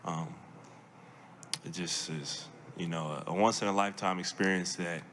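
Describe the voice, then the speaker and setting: Deep flat-voiced young man. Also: a little hiss or hum. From Seattle, Washington. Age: young adult. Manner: measured, many fillers and restarts. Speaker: draft pick at press conference. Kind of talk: press conference answers. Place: press conference room.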